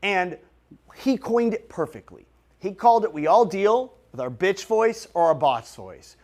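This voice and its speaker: A man in his late thirties with a fairly high voice for a male speaker. He talks straight to his audience as a motivational business vlogger. His delivery is emphatic and direct, in the manner of a coach. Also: expressive, measured pace, darkish tone. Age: late 30s.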